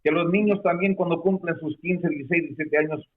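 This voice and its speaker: A man from peru talks as a guest over a narrow, tinny line, a bit high for a man, full of fillers and halting restarts. he speaks in a hesitant way. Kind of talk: conversational explanation. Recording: phone-like narrow sound.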